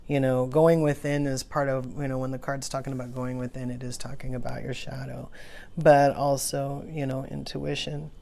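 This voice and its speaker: A woman's voice: rumbling low, flat delivery, normal speed, creaky, dark tone. A woman hosting an oracle card reading in an informal, musing, conversational way. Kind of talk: casual rambling card reading, partly read aloud from a guidebook.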